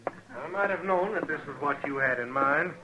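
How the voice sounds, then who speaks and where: A man's voice: on the high side, moderate pace, highs cut off, close to an everyday voice. A man playing a hot-tempered quack doctor, a frontier villain in a 1950s western radio drama. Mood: defiant. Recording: slightly noisy vintage radio.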